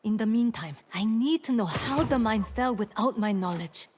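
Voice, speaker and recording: A woman playing a dutiful female town leader in a fantasy game, speaking in a measured, formal and earnest way. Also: on the high side, lively intonation, a little mellow, slightly rough. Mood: thoughtful. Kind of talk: scripted fantasy game dialogue.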